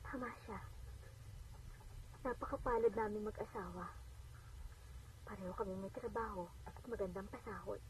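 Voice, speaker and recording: A woman's voice: medium pitch, normal speed, long pauses, close to an everyday voice. A woman acting an earnest, devout wife and daughter telling her testimony in a radio drama. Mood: reflective, humbled. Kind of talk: radio drama acting and first-person narration. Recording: dull, noisy AM radio playback, distant.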